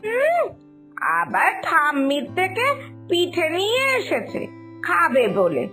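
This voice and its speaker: Woman as a high-voiced, reproachful cartoon mother. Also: huge pitch swings, slow, sharp tone, faint music behind, exaggerated voice. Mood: suspicious, teasing. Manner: reproachful, admonishing. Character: scolding, knowing mother of a spoiled girl. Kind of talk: animated moral-story cartoon acting.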